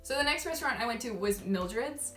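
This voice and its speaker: A woman's voice: fairly high pitch, animated, brisk, fairly bright tone. A young woman who grew up in Malaysia, chatting casually and rambling to camera as a travel vlogger, often laughing at herself. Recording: echoey room, mic at a distance, faint music.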